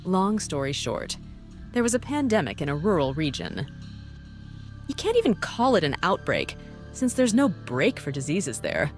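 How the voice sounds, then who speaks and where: Low, bossy female boss played by a woman. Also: big pitch swings, faint music behind. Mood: dry, cynical. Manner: brisk, dry, condescending. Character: bossy, cynical woman running a secret task force. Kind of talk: visual novel voice acting.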